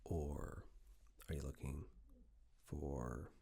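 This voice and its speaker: A man speaking softly and breathily in an unhurried ASMR roleplay, playing a polite tailor who murmurs instructions with pauses. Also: steady tone. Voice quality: soft, breathy, half-whispered low voice. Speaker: ASMR performer playing a tailor taking suit measurements. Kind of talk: soft-spoken ASMR roleplay, personal attention.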